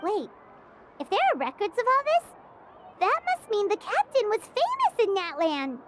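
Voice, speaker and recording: A woman voicing a small, excitable, childlike fairy companion in a video game, squeaky and bubbly. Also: moderate pace, heavy background noise, heavily acted. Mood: curious, surprised. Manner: bubbly, refers to herself by name. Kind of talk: English video game character acting.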